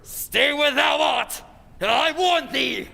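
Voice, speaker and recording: A woman's voice: sits high, takes it slowly, creaky, bright, forceful tone, full character voice. A woman playing a vengeful, menacing male ghost knight in an old-time radio drama, declaiming in archaic speech. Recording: reverberant hall sound.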